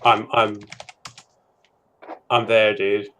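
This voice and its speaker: A man with a loud voice chatting casually on a stream, quick to laugh. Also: on the low side, level tone, slight background noise. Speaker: stream co-host. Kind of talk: casual chat.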